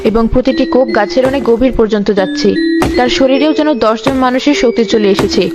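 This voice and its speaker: A woman's voice: a little high, fast talker, over loud music. A woman narrator reading a scripted fairy tale as storyteller.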